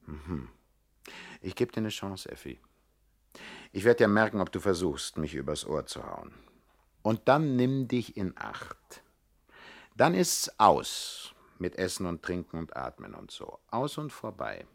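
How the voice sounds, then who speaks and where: Cocky crook for radio comedy: highly animated, swinging from smooth gentleman to jealous menace. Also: a little slow, full character voice. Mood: menacing, sceptical. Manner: swaggering, menacing, mock-gentlemanly. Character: cocky jealous male gangster, charming pickpocket turned crook. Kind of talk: 1960s German radio comedy crime acting.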